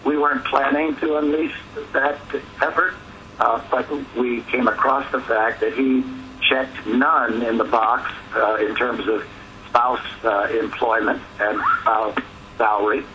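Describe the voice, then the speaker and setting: A man gives measured, halting remarks on a press call. His voice is bright and forceful, at a middling pitch, with some noise on the conference line. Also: steady tone. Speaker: advocacy group spokesman on a press call. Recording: noisy conference phone line.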